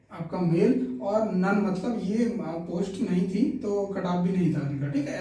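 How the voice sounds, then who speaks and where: A man's voice: high-pitched, dark tone. A man giving an informal explainer and reading out figures, repeating himself and often checking that listeners follow. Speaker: exam-news video presenter. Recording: echoey room, distant mic.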